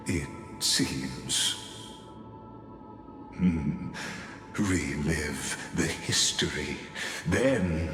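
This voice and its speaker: A taunting, theatrical villain from a video game, narrating doom with pompous relish. The voice is low and extremely unhurried, ringing in an echoey hall over music. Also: male voice, full character voice. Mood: brooding. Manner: pompous, mocking, drawn-out delivery. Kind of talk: dramatic scripted game monologue.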